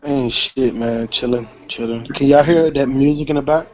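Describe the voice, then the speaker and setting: A man's voice: medium pitch, normal speed. A man chatting loosely from home on an online voice chat, slangy and swearing casually. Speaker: chat participant. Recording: lo-fi voice chat audio with faint noise.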